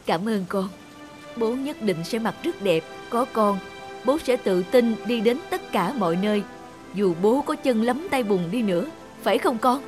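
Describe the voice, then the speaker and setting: A woman voicing a gentle, plain-spoken working father, middle-aged and humble, in an animated moral tale. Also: fairly high pitch, measured pace. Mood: touched. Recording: clear music behind the voice.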